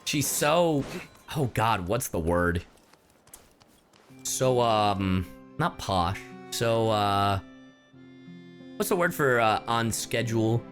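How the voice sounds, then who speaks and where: A game streamer giving casual livestream reactions, playful, exclamatory and quick to swear. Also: mid-range voice, lively intonation, faint music behind.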